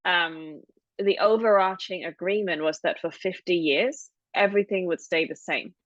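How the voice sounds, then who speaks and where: A woman's voice: on the low side, normal speed, no echo, lo-fi sound. A woman in her thirties from Hong Kong, co-hosting a podcast and telling her family's story in a thoughtful, explanatory way. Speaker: podcast co-host. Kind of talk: casual podcast conversation.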